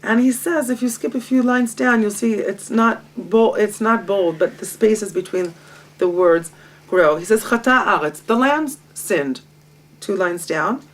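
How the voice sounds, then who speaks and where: A woman, a Hebrew speaker, leads a Torah study session. Her voice sits at a middle pitch, and her delivery is lively and self-mocking, with frequent laughter. The mic is set some distance away in a home library. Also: a little slow. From Israel. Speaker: guest teacher.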